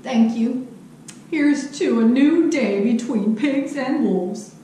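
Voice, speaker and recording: This is a woman performing a bewildered, friendly wolf for a children's fairy tale show. The voice is very high and slow, with hesitant stammers. It rings in an echoey room with faint noise. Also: warm tone, far from the mic. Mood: sheepish, friendly. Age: middle-aged. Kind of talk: theatrical children's storytelling. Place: living room at home.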